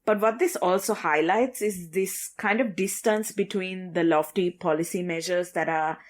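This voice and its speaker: A woman with a lowish voice talks through regional news on a podcast. Her delivery is measured and conversational, with plenty of "you know" and "kind of" as she builds her points. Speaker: podcast co-host.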